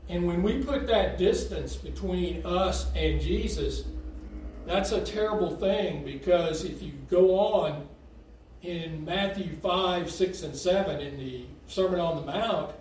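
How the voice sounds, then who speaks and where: A man from Texas preaching in a church sanctuary with a folksy, conversational manner, his voice a bit higher than most men's. The sound bounces around a very reverberant hall, picked up from well away from the mic, with a faint hum of the room behind him. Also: slow pace, darkish tone, distant mic. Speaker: visiting lay preacher. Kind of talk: sermon. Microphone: standing away from the mic.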